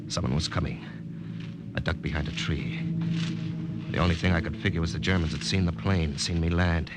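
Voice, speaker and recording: An actor playing a wary, hard-boiled American army captain in a 1950 radio drama, colloquial, with an American accent. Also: male voice, sits low, fairly even intonation, a little fast. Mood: tense, suspenseful. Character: plain-spoken, wary American army captain on a secret mission. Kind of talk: 1950 radio drama acting with first-person narration. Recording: noisy old 1950s radio broadcast.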